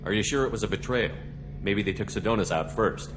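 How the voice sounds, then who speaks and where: Man playing a brisk, low-voiced military commander. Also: noisy background, lightly acted. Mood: probing, calm. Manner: direct, even, businesslike. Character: steady human military commander, calm and probing. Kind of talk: video game dialogue acting.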